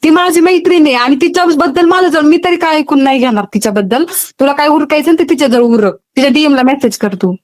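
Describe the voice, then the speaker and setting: A woman's voice: sits high, fast talker. A woman with a Maharashtrian accent in an argumentative phone call, scolding and nagging her caller with question after question. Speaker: social media video creator taking a fan's call.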